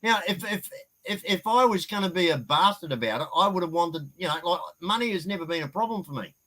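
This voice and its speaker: A man talks as a guest on a live stream. He speaks in a slightly high voice and is blunt and outspoken, with casual swearing. He sounds like he is in casual conversation. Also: lively intonation, a little fast, pushed and bright, low-quality recording.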